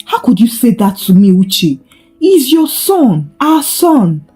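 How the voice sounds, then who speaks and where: A woman's voice: on the high side, very animated, takes it slowly, mellow, faint music behind, voice pushed up. A woman storyteller voicing a wronged young mother, tearful and defiant, in a dramatised folktale. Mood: hurt, pleading.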